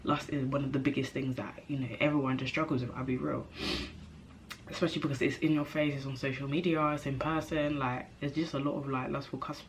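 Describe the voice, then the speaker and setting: A young woman vlogging to camera in a chatty, animated way, her nose a bit stuffy from a cold. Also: a bass voice, slight background noise. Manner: chatty, animated, self-interrupting, slangy. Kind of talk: casual chat to camera.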